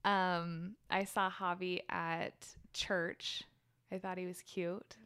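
A woman in her thirties chatting casually as a podcast guest, laughing often and adding quick asides. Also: lowish voice, little pitch movement, fairly bright tone, clear, resonant tone. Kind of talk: casual chat.

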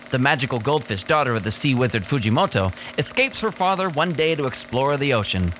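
A man's voice: medium pitch, fairly bright tone, poor audio. A man reading a scripted story summary as narration for a podcast. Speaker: podcast plot summary reader. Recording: clear background noise.

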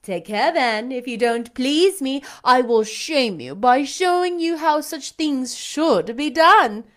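A woman's voice: high-pitched, expressive, slow pace, a bit bright, voice pushed up, heavily acted. A woman reading aloud, voicing a proud, scornful young aristocratic lady who talks in an imperious, showy way. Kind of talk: audiobook character reading.

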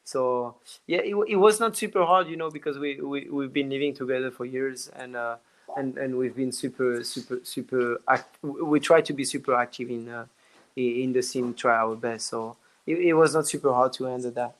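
A man with a French accent chatting in an interview as a dance teacher. He talks haltingly over a video call, often restarting and filling gaps as he gathers his thoughts. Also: medium pitch, fairly even intonation, rough recording. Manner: halting, many restarts and fillers. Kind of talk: casual interview chat.

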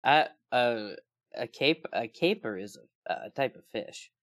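A man's voice: mid-range voice, a little slow. A man co-hosting a podcast in casual comedic chat, making bawdy jokes and laughing often. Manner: animated, bawdy joker who laughs often. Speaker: podcast co-host.

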